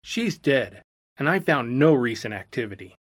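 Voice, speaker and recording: A woman voicing a calm, matter-of-fact man who used to be a building inspector, in an audiobook. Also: rumbling low, moderate pace, no echo, clean studio sound, voice pushed down, exaggerated voice. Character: diplomatic dry-witted male former building inspector. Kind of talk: audiobook character voice.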